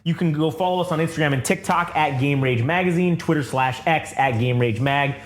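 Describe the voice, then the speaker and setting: A man's voice: fairly high pitch, a little fast, reverberant, slight background noise. An American man hosting a podcast in casual chat, rambling and swearing freely. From United States. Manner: profane, rambling, animated. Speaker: podcast host.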